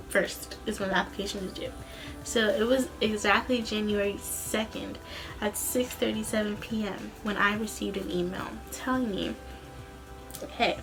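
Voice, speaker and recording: A young woman chats informally in the manner of a personal vlog. Her pitch sits in an ordinary middle range for a female voice, and she rambles casually through her account. Music plays clearly behind her. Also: steady tone, measured pace, rough recording. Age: young adult. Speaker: vlogger, student singer.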